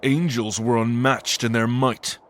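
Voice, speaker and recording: A man's voice: medium pitch, level tone, a little hiss or hum, lightly acted. A man playing an ancient, scheming angel lord in a fantasy audio drama, speaking in a pompous, sanctimonious and menacing way. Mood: solemn. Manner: pompous, slow, menacing declamation.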